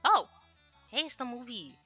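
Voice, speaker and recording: A woman's voice: a little high, lively intonation, normal speed, bright, forceful tone, husky, no room sound, highs cut off, faint music behind. A woman streamer chatting casually on a livestream in a rambling, self-deprecating, apologetic way, speaking into a headset. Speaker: streamer translating a Japanese visual novel.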